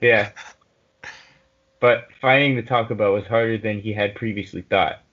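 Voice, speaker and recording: Guy with a lowish voice reading a story to his buddies, sweary, muffled mic. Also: steady tone, moderate pace. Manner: blunt, sweary, breaks off to comment. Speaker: host reading fan fiction aloud with friends. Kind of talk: casual group reading of a story, with banter.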